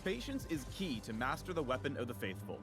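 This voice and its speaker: A man's voice: medium pitch, very animated, brisk. A man narrating a game character guide in a scripted, promotional style. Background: music.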